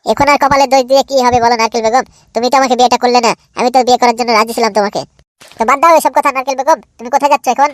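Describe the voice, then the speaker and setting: A man's voice: very high voice, fairly even intonation, very fast, a bit bright, no room sound. A man voicing a bashful, cheeky, lovestruck young man in an amateur comic cartoon, giggly and repetitive. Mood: reproachful.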